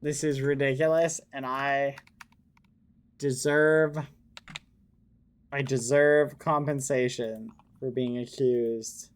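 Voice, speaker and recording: Guy streaming and chatting with chat, unhurried, rambly and mock offended, mid pitch. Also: little pitch movement, smooth. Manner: rambling, mock-indignant, playful. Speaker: live streamer. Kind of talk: casual chat with stream viewers while gaming.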